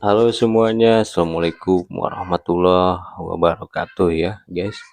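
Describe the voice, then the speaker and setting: A man in his early thirties talking casually to camera as a vlogger, in a halting way with frequent tag words at the ends of phrases. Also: low voice, steady tone, vocal fry, darkish tone, faint music behind. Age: early 30s.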